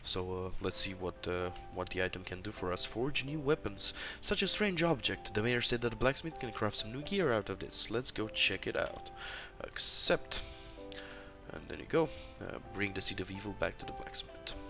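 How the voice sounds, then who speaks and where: A man's voice: lowish voice, animated, normal speed, over music. A man from Romania, commenting live as he plays through a fantasy online game. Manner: narrates his actions, many fillers. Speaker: game developer streaming gameplay.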